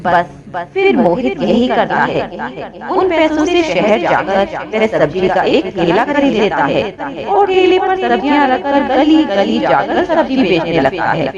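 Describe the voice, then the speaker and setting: A woman's voice: fairly high pitch, big pitch swings, unhurried. A woman narrating a scripted moral story as a storyteller. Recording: dull audio, loud crowd bed behind.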